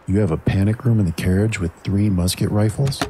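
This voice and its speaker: An actor playing a wry, sardonic stage magician in an audio drama. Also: male voice, fairly low pitch, brisk, warm tone, slight background noise, natural voice.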